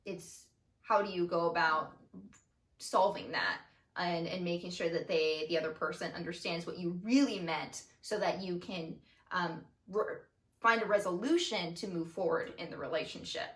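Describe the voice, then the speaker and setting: A young woman co-hosting a casual chat, with a loud, carrying voice and an expressive, animated delivery. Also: fairly low pitch. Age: young adult. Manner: expressive, animated, quick to laugh. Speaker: co-host, daughter. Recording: roomy, mic at a distance.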